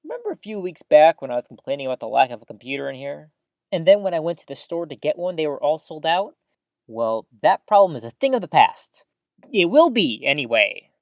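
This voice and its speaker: A woman's voice: low-pitched, sing-song, brisk, dry recording, clean studio sound. A woman playing a chatty, upbeat small-town radio host in an audio drama, with folksy exclamations and theatrical news patter.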